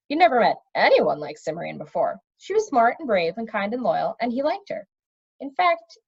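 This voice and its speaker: Woman reading a story aloud, muffled sound. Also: mid-range voice. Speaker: storybook reader. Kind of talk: expressive read-aloud narration of a children's fantasy novel. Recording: dull, lo-fi recording.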